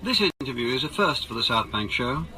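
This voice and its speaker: A man playing a formal television arts presenter, delivering a scripted introduction and question with deliberate authority. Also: medium pitch, sing-song, gravelly, background noise.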